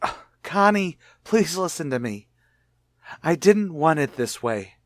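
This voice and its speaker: An actor playing a cornered husband with a false identity in a radio drama, earnest and self-justifying. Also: male voice, a little high, big pitch swings, measured pace, no room sound, clean studio sound. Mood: desperate, pleading. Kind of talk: old-time radio drama acting.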